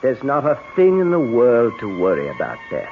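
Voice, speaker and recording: A man's voice: mid-range voice, wildly swooping pitch, normal speed, faint music behind. A man playing a controlling, patronisingly reassuring husband in a 1970s radio drama. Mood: controlling, soothing. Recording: muffled, hissy 1970s radio broadcast.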